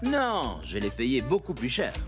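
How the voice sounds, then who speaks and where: A cheerful, sly young-hearted trickster husband in a cartoon. The voice swings widely and coaxes theatrically, with loud music underneath. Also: male voice, medium pitch, huge pitch swings, measured pace. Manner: theatrical, coaxing, exclamatory. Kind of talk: cartoon acting.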